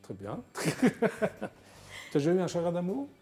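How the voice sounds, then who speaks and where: A woman hosting a TV talk show, asking brisk chatty questions. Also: on the low side, huge pitch swings, warm tone, husky, faint music behind. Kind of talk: casual TV interview.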